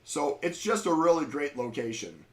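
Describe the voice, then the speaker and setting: Slightly hoarse middle-aged man hosting. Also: a little high, lively intonation, moderate pace. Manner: knowledgeable, chatty, railroad jargon. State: slightly hoarse, under the weather. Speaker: host of a live train-watching stream. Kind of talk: casual live-stream chat.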